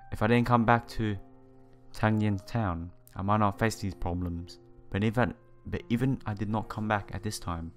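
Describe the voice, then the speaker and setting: A man doing a let's play, reading visual novel text aloud in a halting way and sometimes stumbling over words. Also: lowish voice. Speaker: let's player. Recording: game music behind the voice. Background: visual novel background music.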